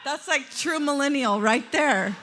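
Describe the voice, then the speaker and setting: A woman's voice: high voice, unhurried, a bit bright, slight background noise. A woman moderating a panel at a church women's event, chatty and casual, laughing often and trailing off with fillers. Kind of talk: casual hosting, friendly and talkative.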